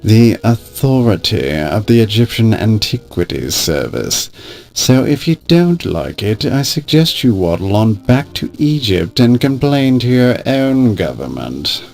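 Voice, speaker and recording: A pompous, blustering game villain with a creaky voice. His delivery is measured and swoops with haughty menace over synthesized music. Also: lowish voice, very animated, a little mellow, full character voice. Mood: indignant, threatening. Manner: haughty, insulting, threatening. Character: arrogant, blustering, bullying male museum exhibitor. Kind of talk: theatrical video game voice acting. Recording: music under the voice.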